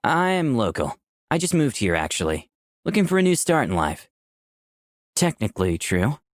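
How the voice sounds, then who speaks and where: A man's voice: medium pitch, big pitch swings, normal speed, no echo, clean studio sound, natural voice. A man voicing a candid, easygoing young protagonist who narrates a visual novel in the first person. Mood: candid, friendly. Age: young adult. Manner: clear scripted storytelling.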